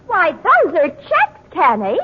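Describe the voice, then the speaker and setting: 1940s radio comedy, woman as a squeaky excitable sidekick, very high and sing-song, muffled old recording. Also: slow pace, heavily acted. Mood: chirpy.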